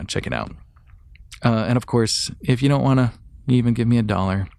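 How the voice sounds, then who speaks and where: A man's voice: fairly low pitch, dry recording, studio quality. A man hosting a podcast solo, chatting informally and enthusiastically to his listeners and thinking aloud as he goes. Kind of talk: casual rambling show update to listeners.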